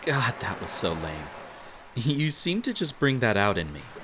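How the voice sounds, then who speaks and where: A man acts out a young male barista with a crush, speaking at an unhurried, slow pace with plenty of melodic swing. He is teasing and bashful, tends to ramble, and looks after the listener in an intimate roleplay, with beach ambience audible behind him. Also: medium pitch, very animated, noisy background. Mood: bashful, playful. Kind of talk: intimate roleplay audio acting.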